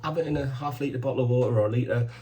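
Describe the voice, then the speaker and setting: A man's voice: medium pitch, fast talker, warm tone, slight background noise. A man in his fifties giving supplement and bodybuilding advice straight to camera, in short emphatic commands and an enthusiastic, plain-spoken way. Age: 50s. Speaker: supplement shop owner giving fitness advice.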